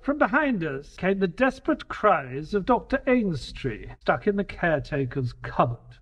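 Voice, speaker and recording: Earnest detective's companion and narrator played by a male actor, high husky voice, audio-drama storytelling. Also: very animated, a little slow, a bit bright, exaggerated voice. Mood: dramatic narration.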